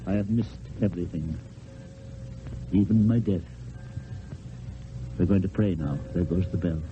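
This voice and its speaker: Proud dying swordsman-poet, slow declamatory delivery, old radio drama, dull lo-fi sound. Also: sits low, soft background music. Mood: wry, bitter. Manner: theatrical, declamatory, poetic, drawn-out phrases. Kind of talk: old-time radio play acting.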